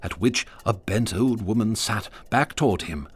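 A man narrating a radio drama in a measured, ominous storytelling manner. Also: on the low side, slightly rough.

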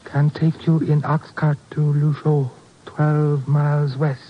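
Old korean farmer, polite n solemn, broken english, lightish pitch, muffled radio play. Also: male voice, level tone, slow. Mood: helpful. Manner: formal clipped broken English. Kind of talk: 1950s radio drama acting.

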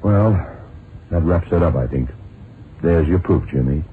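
A self-assured radio detective with an extremely low voice. He is unruffled and dryly explanatory, as in a crime drama. The old recording is narrow and muffled, with some noise. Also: measured pace. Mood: matter-of-fact, assured. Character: cool, self-assured detective who outwits gunmen.

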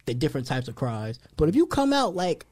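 Man in his thirties, slightly higher voice, loose slangy podcast host, casual chat. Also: wildly swooping pitch, brisk, darkish tone. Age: 30s.

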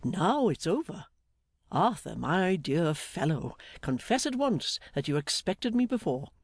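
A man voicing a jolly, blustering older English gentleman in an audiobook, fatherly, emphatic and effusive. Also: a little high, big pitch swings, unhurried, no echo, clean studio sound, exaggerated voice. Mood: hearty, excited. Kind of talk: dramatised audiobook character.

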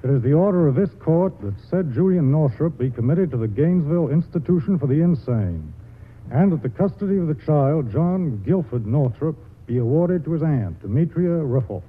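An actor playing a husky-voiced, official small-town sheriff in a 1940s radio drama. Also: male voice, fairly high pitch, lively intonation, moderate pace. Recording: muffled, narrow-band 1940s radio broadcast.

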